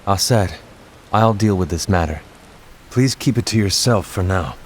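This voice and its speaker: A man playing a calm, dutiful leader in a video game cutscene, speaking in a measured, formal way. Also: low voice, slight background noise, close to an everyday voice. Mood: solemn, resolute.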